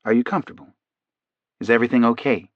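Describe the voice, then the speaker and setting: Male narrator as authoritative, soothing male doctor for audiobook, mid pitch, quiet delivery, slightly dull sound. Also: quick pace, dry recording, natural voice. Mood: gently probing. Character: calm, authoritative male doctor conducting hypnosis.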